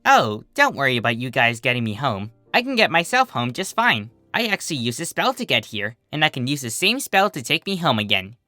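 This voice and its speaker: A carefree female sea pony from a storybook, acted by a narrator. She sounds cheerful and innocent, with a bright tone and bouncy, wide-ranging pitch. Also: sing-song, a little fast, few pauses, dry recording, faint music behind. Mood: breezy. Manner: breezy, cheerful.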